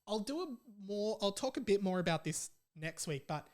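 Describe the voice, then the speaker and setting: Higher-voiced young Australian man hosting a podcast. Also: animated, moderate pace. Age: young adult. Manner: informal and chatty, reads out listener messages. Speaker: podcast host. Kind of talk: casual solo podcast chat.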